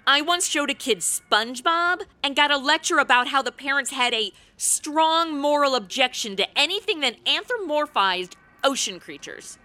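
A woman's voice: high-pitched, lively intonation, normal speed, hardly any pauses, pushed and bright, dry recording. A woman playing a brash, blunt young helper to Santa in a comedic radio play, flippant and sarcastic.

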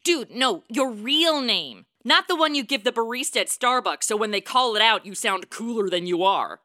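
Snarky modern career woman, played by an actress: bright, forceful, swooping delivery, blunt radio comedy wisecracks. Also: a little high, lively intonation, normal speed. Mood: exasperated, snarky. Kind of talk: comedic radio play acting.

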